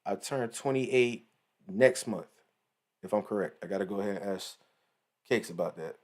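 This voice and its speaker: A man's voice: fairly low pitch, normal speed. A man in his late twenties giving rambling, slangy casual commentary with lots of asides. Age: 20s. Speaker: commentary channel host.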